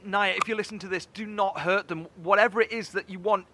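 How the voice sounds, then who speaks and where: A man's voice: sits high, steady tone, fairly quick, very noisy, lightly acted. An actor playing an anxious, caring man in a horror audio drama, agitated and pleading. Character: worried man pleading for his captive friends, anxious, caring.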